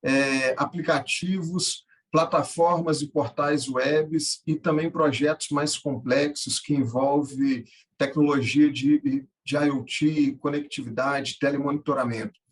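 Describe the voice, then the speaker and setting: A man, answering interview questions conversationally and haltingly, often repeating words. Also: on the high side, steady tone. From Minas Gerais, Brazil. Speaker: podcast guest, tech founder and angel investor.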